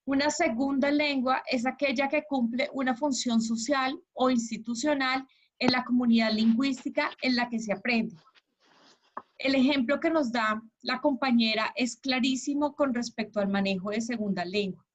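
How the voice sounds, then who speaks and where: A woman's voice: high voice, steady tone, normal speed. A woman with a Colombian accent lecturing an online class as its teacher, encouraging and checking understanding with questions. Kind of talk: interactive lecture. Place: online video class with slides. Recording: dull, lo-fi online class audio.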